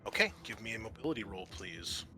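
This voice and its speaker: A man working as game master, narrating a roleplaying session in a halting, conversational way. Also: fairly low pitch, very animated, bright, forceful tone.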